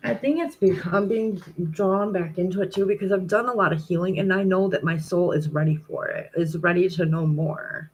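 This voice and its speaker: A woman's voice: fairly low pitch, brisk, few pauses, slight background noise, poor audio. Rambling woman tarot reader, chatty. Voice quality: commanding voice. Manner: rambling, self-correcting, frequent "like" and "um". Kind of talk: casual livestream chat.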